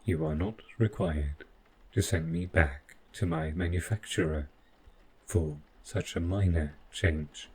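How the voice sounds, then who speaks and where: A man playing an overprotective, stiffly polite robot butler in an audio roleplay, speaking in formal, deliberate phrases. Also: deep voice, a little mellow, slight background noise, rough recording. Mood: calm, formal. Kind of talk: scripted audio roleplay addressed to the listener.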